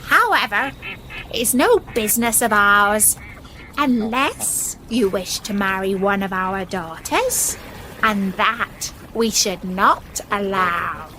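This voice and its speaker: A woman's voice: medium pitch, expressive, slow pace, pushed and bright, a little hiss or hum, rough recording. A woman voicing blunt, disdainful wild ducks in a storybook tale, dismissive in manner. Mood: scornful. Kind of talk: storybook character voice.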